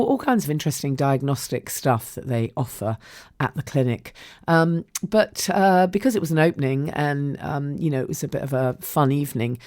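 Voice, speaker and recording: A woman hosting a podcast in a croaky voice, chatting casually and rambling with many ums and restarts. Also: extremely low pitch, warm tone, dry recording, studio quality. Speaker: podcast host. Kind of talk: casual solo podcast chat.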